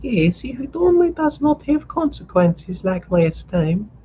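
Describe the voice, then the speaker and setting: A man voicing a frantic older mother who scolds and wails in a shrill, accusing voice. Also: big pitch swings, a little slow, mellow, highs cut off, a little hiss or hum, exaggerated voice. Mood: frantic scolding.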